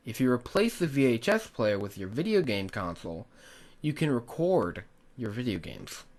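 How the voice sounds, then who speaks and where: A man narrating a scripted tutorial, speaking in an earnest, instructive way straight to the viewers. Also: mid-range voice. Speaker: tutorial host, hobby video creator.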